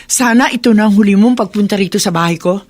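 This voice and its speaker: A woman's voice: medium pitch, quick pace, a little mellow, natural voice. A woman playing a hardworking, fed-up widowed shopkeeper in a radio drama, exasperated and pleading. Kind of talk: Tagalog radio drama acting.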